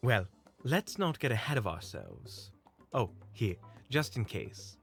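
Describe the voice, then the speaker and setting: A man playing a courteous, calm leader of monster hunters in an audio roleplay, speaking politely and precisely with a caring manner. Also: mid-range voice, slow pace, gravelly, faint music behind, voice pushed up, exaggerated voice. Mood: gently chiding. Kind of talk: audio roleplay acting addressed to the listener.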